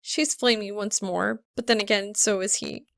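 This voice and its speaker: A woman's voice: mid-range voice, fairly even intonation, normal speed, vocal fry, a bit bright, no echo, clean studio sound. A woman narrating scripted fiction as an audiobook reader.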